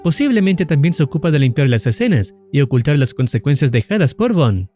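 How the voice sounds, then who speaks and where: A man narrating a scripted analysis of a horror series in a measured, explanatory way. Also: a little high, very animated, warm tone, faint music behind. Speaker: lore channel narrator.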